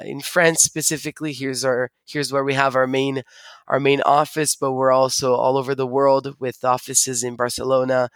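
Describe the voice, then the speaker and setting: A man's voice: medium pitch, fairly bright tone, clear, resonant tone, no room sound. A young man from Brazil who also grew up in the United States, explaining his sales work as an interview guest. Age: young adult.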